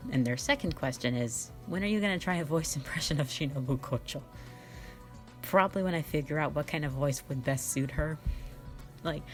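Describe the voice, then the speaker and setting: A woman in a casual question-and-answer style, reading out fan questions and replying in a playful, rambling, self-deprecating way. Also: low-pitched. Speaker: ASMR and audio roleplay voice creator. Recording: faint music behind the voice. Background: faint background music.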